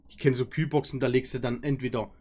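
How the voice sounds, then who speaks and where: A man's voice: mid-range voice, fairly even intonation, fast, a little mellow, slight background noise. A young man giving casual, rambling, mocking commentary as a reaction video host. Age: young adult. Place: decorated room at home.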